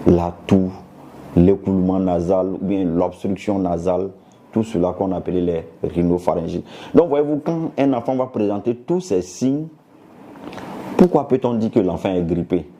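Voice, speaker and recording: Man, doctor explaining to viewers, didactic, searches for words, deep and dark. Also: a little hiss or hum. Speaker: doctor giving a health talk.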